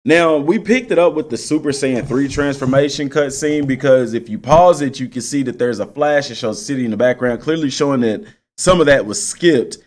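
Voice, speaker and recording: A man giving casual, unscripted gaming commentary, addressing viewers directly and repeating points to make them clear. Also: mid-range voice, normal speed. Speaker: video game channel host.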